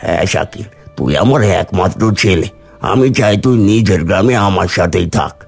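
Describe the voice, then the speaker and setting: A man voicing an earnest, caring older village father who persuades gently in a cartoon story. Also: deep voice, animated, normal speed, creaky, soft background music, heavily acted. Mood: earnest persuasion.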